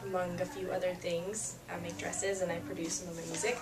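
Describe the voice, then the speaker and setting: A woman's voice: fairly low pitch, flat delivery, brisk. Chatty young woman from Peru, roomy distant sound. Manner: chatty, laughs often, many fillers and restarts. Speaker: singer-songwriter guest. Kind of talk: casual interview chat. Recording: roomy, distant mic, faint ambience.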